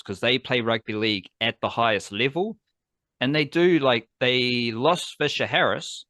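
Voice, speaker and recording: A man's voice: lowish voice, bright, forceful tone, no room sound, clean studio sound. A man hosting a casual sports podcast, rambling enthusiastically and correcting himself as he goes. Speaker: podcast host.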